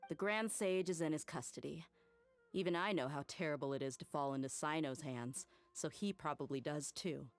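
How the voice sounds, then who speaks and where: A woman's voice: sits low, normal speed, soft background music. A woman playing a brash, good-hearted young mercenary in an anime game, with a blunt, casual, swaggering delivery. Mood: confident.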